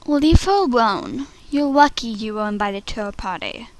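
A woman voicing an outspoken, sassy and dramatic teenage schoolgirl in an amateur animated-series audition. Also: high voice, takes it slowly, no echo, exaggerated voice. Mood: defiant, protective.

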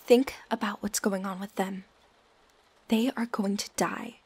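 A woman acts out a young female healer in an ASMR-style drama. Her voice is breathy and husky at a medium pitch. She speaks with earnest, persuasive emphasis. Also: fairly even intonation, unhurried, a little mellow. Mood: earnest, insistent. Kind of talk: ASMR audio roleplay acting.

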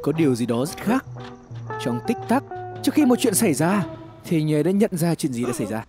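A man's voice: on the high side, big pitch swings, brisk. A man reads scripted horror story narration in a dramatic, suspenseful storytelling manner. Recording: music behind the voice. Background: background music.

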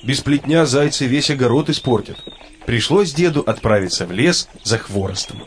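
A man's voice: mid-range voice, expressive, moderate pace, a little hiss or hum. A man narrating a children's cartoon in a storybook style.

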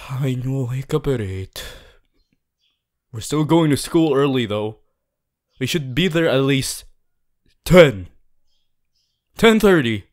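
A man voicing an earnest, fussy but caring student class president in an ASMR roleplay, gently bossy and often stumbling over his words. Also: on the high side. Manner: hesitant, repeating himself, gently bossy. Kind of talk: ASMR audio roleplay addressed to the listener.

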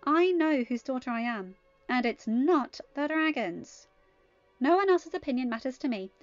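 A sardonic teenage girl from a story, read aloud by a woman. She is dry and scoffing, and her voice sits fairly high. Faint music plays under a slightly dull recording. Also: animated, normal speed, dry recording. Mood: self-assured.

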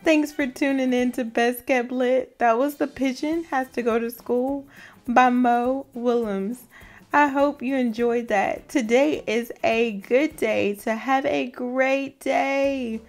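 A woman's voice: high-pitched, steady tone, slow. A woman presenting a children's storybook read-aloud as its host, in a scripted style. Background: music.

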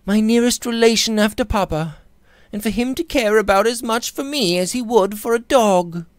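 A man's voice: high voice, normal speed, no echo, clean studio sound, voice pushed up, close to an everyday voice. A man voicing a proud, impatient young Victorian lady in a passionate, exclamatory manner for a novel reading. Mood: hurt, angry.

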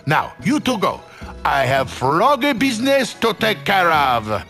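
A man's voice: sits high, huge pitch swings, a little slow, bright, forceful tone, faint music behind, full character voice. A man voicing a pompous, theatrical frog in a cartoon video game. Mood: pompous, secretive. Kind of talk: animated video game character acting.

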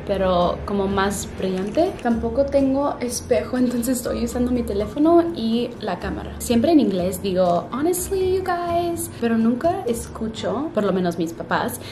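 Young adult woman, relaxed self-correcting vlog chatter, close camera in an echoey hotel room. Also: fairly high pitch, background noise. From Canada. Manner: chatty, pauses to search for words and corrects herself. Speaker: vlogger. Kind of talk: casual get-ready-with-me chat to camera. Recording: roomy room with music underneath.

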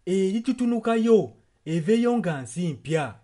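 Ugandan male sermon interpreter, slightly high voice, translating in short passages. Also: expressive, unhurried, mellow.

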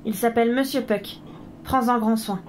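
A woman playing a gentle, nostalgic mother in a French audio drama, speaking earnestly. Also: fairly high pitch, steady tone, normal speed, a little hiss or hum, close to an everyday voice. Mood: tender.

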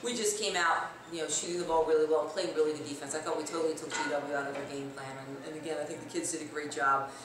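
A woman's voice: low-pitched, steady tone, fast talker, hardly any pauses. A woman in her forties, a basketball coach, answering postgame interview questions. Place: indoor room with block and stone wall. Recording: very echoey, distant, noisy room.

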